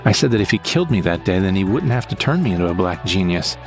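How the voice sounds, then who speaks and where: A man's voice: sits low, big pitch swings, fast, over music. A man playing a frightened, bitter victim who recounts his ordeal in plain, short sentences for an audio drama monologue. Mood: uneasy recounting. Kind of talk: first-person scripted audio drama monologue.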